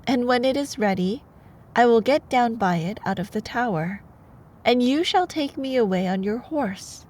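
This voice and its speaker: A woman's voice: fairly high pitch, animated, moderate pace, a little mellow. A woman voicing a sheltered, earnest young maiden from a storybook.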